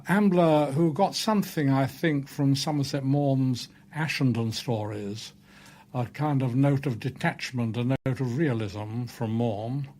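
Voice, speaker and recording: A man's voice: medium pitch, dark tone. A man giving spoken commentary as a literary expert.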